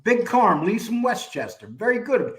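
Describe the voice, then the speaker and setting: A brash man from New York who swears freely and pokes fun at himself in loose, joking crosstalk chat. His voice sits a little high and swings widely in pitch. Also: sing-song, moderate pace. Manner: brash, profane, laughs at himself. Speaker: show guest.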